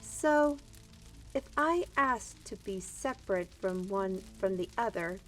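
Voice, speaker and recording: A woman playing an earnest, defiant human in a fantasy audio drama, speaking formally and deliberately. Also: medium pitch, animated, soft background music. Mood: earnest, challenging. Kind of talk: fantasy audio roleplay acting.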